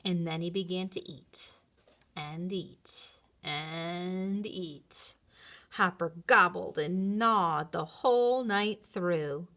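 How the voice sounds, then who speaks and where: A woman narrating a children's story in an expressive read-aloud style. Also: lowish voice, slow pace.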